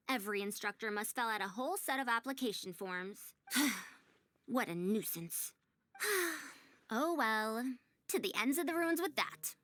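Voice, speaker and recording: A woman's voice: on the high side, pushed and bright, no echo. A woman playing a prim, proud but kindly young-sounding researcher in a video game, speaking formally and articulately in wordy, old-fashioned phrases. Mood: playfully exasperated. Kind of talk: scripted video game character dialogue.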